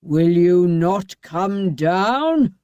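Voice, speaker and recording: A woman reading the part of a cruel, menacing husband, drawing out his threats slowly and heavily. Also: deep voice, warm tone, no echo, studio quality.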